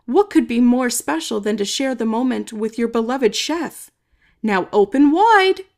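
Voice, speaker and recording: A woman's voice: fairly high pitch, animated, unhurried, darkish tone. Woman doing a bossy, scheming monster chef. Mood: coaxing. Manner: commanding, scornful.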